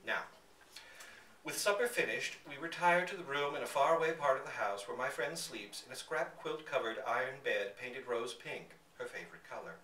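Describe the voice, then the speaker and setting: Male narrator for live story reading: bright, highly animated, theatrical phrasing, picked up from a distance in a reverberant room. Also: moderate pace. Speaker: reader at a live literary reading. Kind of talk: expressive scripted story narration. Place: bookstore public reading. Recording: echoey room, distant mic, faint background noise.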